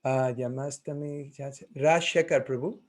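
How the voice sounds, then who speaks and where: A man teaching a class on a video call, taking roll in a friendly, halting way, thinking aloud as he goes. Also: medium pitch, fairly even intonation.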